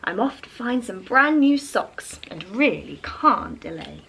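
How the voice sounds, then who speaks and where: A woman's voice: mid-range voice, big pitch swings, unhurried, creaky, fairly bright tone, a little hiss or hum, full character voice. A woman voicing a kind, polite male octopus who is in a hurry, in a children's storybook. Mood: apologetic. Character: kind busy sock-loving octopus, male storybook sea creature.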